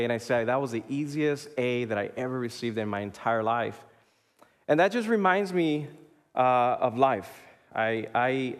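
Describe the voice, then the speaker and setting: A man in his thirties, a pastor preaching a sermon in a relaxed, conversational way, often pausing with fillers and restarting his sentences. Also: mid-range voice. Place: church sanctuary. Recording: live church hall with long reverb.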